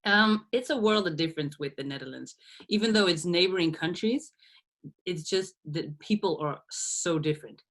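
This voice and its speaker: A woman's voice: fairly low pitch, normal speed. A woman in her thirties from Belgium, a podcast guest talking through her past in a reflective way, with frequent laughs and restarts. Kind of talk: casual interview.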